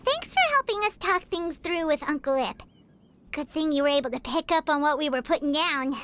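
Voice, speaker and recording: A woman's voice: high voice, expressive, normal speed, fairly bright tone, slight background noise. A woman voicing a small, cheerful, pushy fairy-like companion girl in a video game, with a perky manner. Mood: cheeky.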